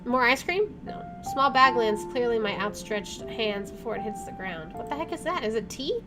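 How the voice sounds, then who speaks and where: Girl doing a lets play, reads the story out and reacts, kinda high voice, music playing behind. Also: moderate pace. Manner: expressive, reacts aloud to the story. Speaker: let's play streamer. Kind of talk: game commentary and read-aloud narration. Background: game music.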